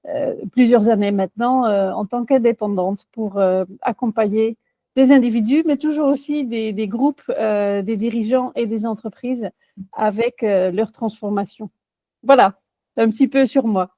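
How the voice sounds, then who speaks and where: A woman's voice: on the high side, moderate pace, warm tone, no room sound. Dutch woman, hesitant interview answers. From Netherlands. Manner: hesitant, many fillers and restarts. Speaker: career coach.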